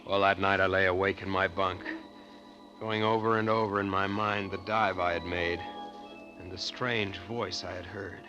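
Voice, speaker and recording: An actor playing an earnest treasure-hunting diver who tells his eerie adventure with dramatic, deliberate 1940s radio suspense delivery. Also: low-pitched, little pitch movement, muffled recording, close to an everyday voice. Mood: grave, suspenseful. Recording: old radio broadcast with loud music.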